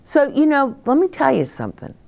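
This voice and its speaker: A woman's voice: sits high, vocal fry. An older woman hosting a children's story time, speaking simply and expressively to young children as she shares stories and advice. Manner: simple, expressive, addressing children directly, with dramatic pauses.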